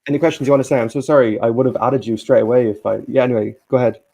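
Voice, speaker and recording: A man hosting a casual live stream, slightly hoarse and halting, with many restarts. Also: mid-range voice, steady tone, dark tone. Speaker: stream host.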